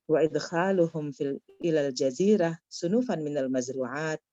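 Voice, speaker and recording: A woman teaching Arabic in an online class, correcting a student's reading and drilling pronunciation slowly and firmly. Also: sits low, warm tone, no echo, dull audio.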